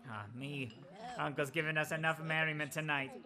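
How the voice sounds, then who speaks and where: Actor as a laughing party host, lively high delivery, crowd in the room. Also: male voice, brisk, close to an everyday voice. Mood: merry. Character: Scrooge's cheerful young nephew Fred, warm host.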